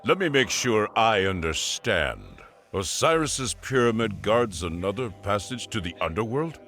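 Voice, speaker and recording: A man playing a gruff, cocky warrior sidekick in a dramatic video game cutscene. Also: lowish voice, lively intonation, a little slow, fairly bright tone. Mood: questioning.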